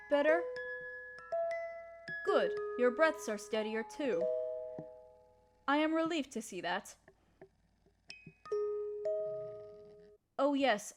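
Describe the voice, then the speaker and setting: High-voiced, proper young noblewoman, acted by a woman. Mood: gentle, concerned. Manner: polite, formal, period phrasing, coyly teasing. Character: gentle, proper young noblewoman mistress talking kindly to her servant. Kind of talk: roleplay audio drama, intimate one-sided dialogue.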